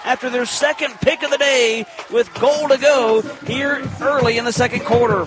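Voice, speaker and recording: An American man calling live football play-by-play on the radio in an excitable, emphatic way with clipped phrases. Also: extremely high pitch, normal speed, over music.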